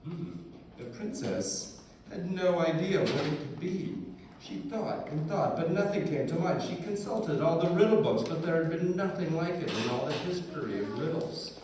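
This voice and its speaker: A man reading scripted puppet show narration aloud with deliberate, theatrical storytelling. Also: fairly high pitch, animated. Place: indoor live puppet show, far from the mic. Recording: distant, echoey, noisy live room.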